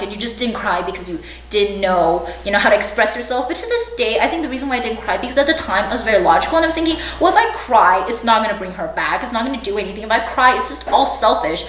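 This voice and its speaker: A young woman from China and the United States casually recounting personal memories in an informal, hedging way as an interviewee. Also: a little high. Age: young adult. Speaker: oral history interviewee. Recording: roomy, distant mic, faint background noise.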